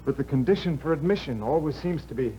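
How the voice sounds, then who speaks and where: An actor playing an exhausted, haunted husband and novelist who speaks in a weary, brooding way with sudden outbursts, in a 1950s radio drama. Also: male voice, a little high, expressive, quick pace, dark tone, close to an everyday voice. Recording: dull 1950s radio broadcast with faint hum.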